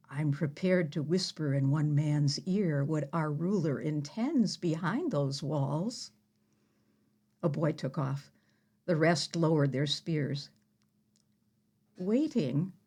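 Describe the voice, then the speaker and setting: A woman playing a proud biblical heroine in an audio play, telling her tale in a measured, mocking manner. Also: low voice, darkish tone, heavily acted. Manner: measured, mocking, deliberate storytelling. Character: proud, sardonic biblical heroine who slew an enemy general. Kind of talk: theatrical audio-play monologue.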